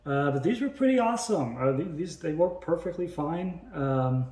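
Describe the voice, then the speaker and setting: A man's voice: a little high, expressive, normal speed, a little mellow, roomy sound. A man casually showing vintage electronics to viewers as a video host, talking informally and unscripted. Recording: faint noise, clicks of handled gear.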